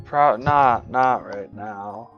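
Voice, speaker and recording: A man chatting casually on a gaming livestream, tired, with a deep voice and a rambling way of talking full of fillers. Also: drawn-out words, highs cut off. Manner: relaxed, rambling, lots of "like" fillers. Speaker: streamer. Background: background music.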